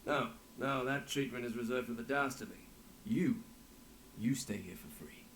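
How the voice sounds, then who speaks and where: An actor playing a jovial, generous innkeeper in a video game, with a theatrical, effusive delivery. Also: male voice, mid-range voice, normal speed, a bit bright, slight background noise, lightly acted. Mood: playful, generous.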